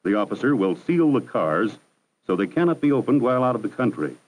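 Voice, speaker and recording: A man narrating a 1960s educational film in a measured, formal, instructive manner. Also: mid-range voice, very animated, a little mellow, poor audio. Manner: measured, formal, didactic delivery. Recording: 1960s archival film soundtrack.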